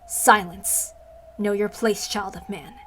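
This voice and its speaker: A woman's voice: mid-range voice, steady tone, takes it slowly, fairly bright tone, slight background noise. Woman voicing a haughty, scornful inhuman goddess. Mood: commanding. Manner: imperious, scornful, archaic formal phrasing. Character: haughty, hostile inhuman goddess in female human form.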